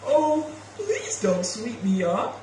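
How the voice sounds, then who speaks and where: A dopey puppet-show ghost played by a woman talks in a silly, halting way. The voice drawls very slowly and swoops around, a bit far from the mic. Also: on the high side, huge pitch swings, mellow, pitched above the performer's own voice, exaggerated voice. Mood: dopey chatty. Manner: halting, goofy. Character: silly, dopey, talkative bigger brother ghosts. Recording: echoey, distant, faintly noisy room.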